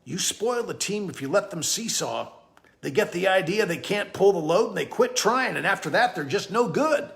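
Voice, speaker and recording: Man reading as a steady farmer father, lively pitch. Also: normal speed, creaky, heavily acted. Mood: instructive. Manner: plain-spoken, instructive. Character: steady, kindly farmer father advising his young son.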